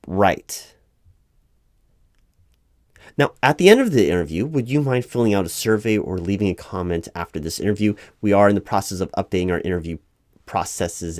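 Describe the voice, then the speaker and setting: A man playing an earnest, easily flustered corporate recruiting manager in a one-sided ASMR roleplay, speaking aloud in a halting, officious, repetitive way. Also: deep voice, darkish tone, dry recording. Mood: businesslike, then polite, formal. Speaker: job interviewer.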